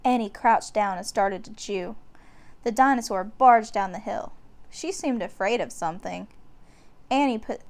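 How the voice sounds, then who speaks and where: A woman's voice: medium pitch, a little slow, darkish tone. A woman narrating a children's book aloud, giving the descriptions dramatic emphasis. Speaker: storyteller.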